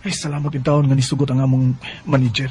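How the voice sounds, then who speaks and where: A man acting in a Bisaya radio drama as a smooth-talking, evasive young delivery driver with a Cebuano Filipino accent, given to exaggerated reactions and muttered asides. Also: medium pitch, little pitch movement, fast, lightly acted. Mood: flustered, evasive. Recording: noisy radio drama recording.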